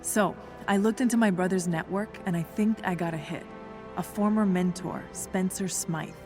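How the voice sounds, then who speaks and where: Woman as low-voiced young scientist, dry and composed. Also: moderate pace, a little mellow, soft background music, close to an everyday voice. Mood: businesslike. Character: young woman scientist ally, tough, smart, dryly composed. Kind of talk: video game voice acting, naturalistic dialogue.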